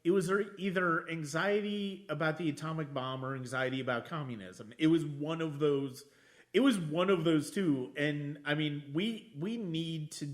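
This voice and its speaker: A man hosting a casual film discussion, thinking aloud in a halting way and repeating phrases. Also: fairly high pitch. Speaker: podcast host.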